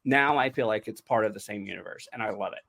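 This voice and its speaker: A man's voice: fairly low pitch, quick pace, vocal fry, fairly bright tone. A man talking informally and casually as a podcast host about making an audio drama. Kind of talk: casual behind-the-scenes podcast chat.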